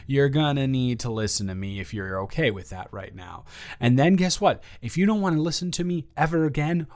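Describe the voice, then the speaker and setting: A man's voice: a little high, natural voice. A man playing a nervous, self-deprecating young male roommate in an improvised audio roleplay, stammering and repeating himself as he rambles.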